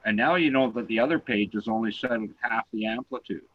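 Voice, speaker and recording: Man co-host, loud, patient explainer, lowish voice, dry muffled home mic. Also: fairly quick, dry recording. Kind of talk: online talk show discussion.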